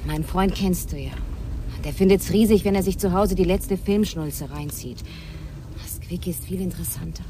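A woman's voice: on the low side, moderate pace, warm tone, close to an everyday voice. An actress in a radio drama playing a chatty, frank young woman who sometimes whispers. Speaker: Janice, a young woman back from a club. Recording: car noise behind dialogue.

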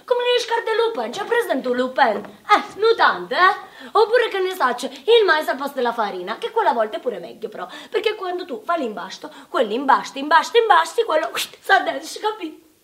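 An actress playing an exasperated working-class wartime housewife with a Neapolitan accent, earthy and scolding, in a theatrical radio monologue. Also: female voice, sits high, big pitch swings, moderate pace. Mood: chatty, complaining. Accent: southern Italian, Neapolitan. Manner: earthy, volatile, scolding, addressing listeners directly.

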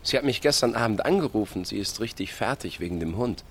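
A man's voice: on the low side, expressive, fast, slight background noise, natural voice. An actor playing a teasing, insistent village man of thirty in a radio drama, pushily friendly. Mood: sly, amused. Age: 30s.

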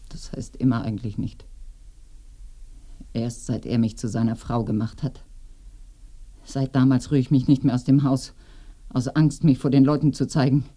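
An actress in a radio drama playing a lonely, remorseful, secluded village woman with a soft, breathy, brooding delivery. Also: a bass voice, fairly even intonation, moderate pace, pauses often. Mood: lonely, confiding. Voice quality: soft, breathy, often whispering. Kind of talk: intimate radio play acting. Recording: roomy binaural radio drama recording.

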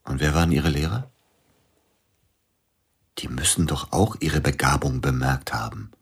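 A reserved, probing man with a deep, husky voice. He speaks in short, measured phrases, quietly questioning in the manner of radio drama. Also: heavily acted. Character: reserved, probing male visitor questioning a woman. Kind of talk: German radio drama dialogue.

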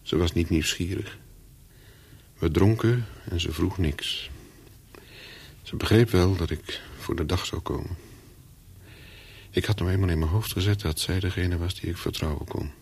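A man's voice: sits low, moderate pace, vocal fry, pauses often, a little mellow, husky, full character voice. An actor in a radio play as a nervous, guarded man on the run, speaking tersely and narrating his own story. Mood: brooding narration. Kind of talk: radio play acting with first-person narration.

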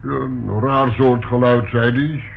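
A man's voice: medium pitch, fairly even intonation, slow pace, creaky. An actor playing a plain-spoken, helpful working man in a radio play, with a homely, slightly hesitant manner. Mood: matter-of-fact. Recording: tinny, faintly noisy old radio recording.

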